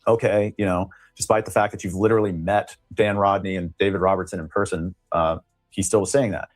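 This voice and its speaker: A man's voice: low voice, flat delivery, a little fast, faint music behind. A man speaking as an attorney being interviewed, recounting things in a casual, explanatory way. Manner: conversational, explanatory.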